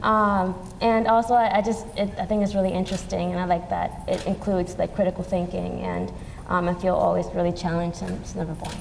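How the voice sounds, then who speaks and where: A woman's voice: lowish voice, flat delivery, a little fast, creaky, darkish tone. A young woman from Peru, a student panelist, answering hesitantly with many fillers on stage. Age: young adult. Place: event stage in a large hall. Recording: reverberant hall with faint noise.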